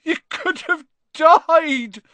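A man voicing a huge, soft-hearted gamekeeper in an audiobook, gruff and rustic in manner, blurting words through tears of remorse. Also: very high voice, very slow, no echo, voice pushed up, heavily acted. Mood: sobbing, remorseful. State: crying.

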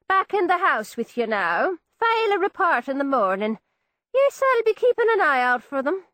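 A woman's voice: high-pitched, sing-song, normal speed, fairly bright tone, no echo, voice pushed up. Woman doing a lilting Irish constable. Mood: coaxing. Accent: Irish, put on. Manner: lilting, coaxing, from a distance. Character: Irish constable, man, genial and soothing.

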